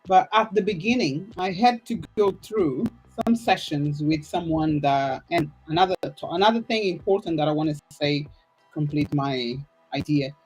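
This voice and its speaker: A woman's voice: low-pitched, moderate pace, darkish tone, slightly rough, faint music behind, low-quality recording. A woman from Portugal with a Portuguese accent, a podcast host who speaks English as a second language, laughing often and searching for words. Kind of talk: casual interview chat.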